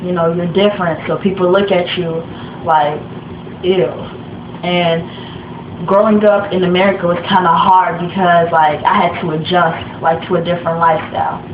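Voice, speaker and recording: A young woman from Africa whose first language is Swahili, telling her own story in a soft, halting way with many fillers. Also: on the low side, level tone. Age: young adult. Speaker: interviewee. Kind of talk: personal testimony. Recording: echoey room, dull lo-fi sound, noisy background.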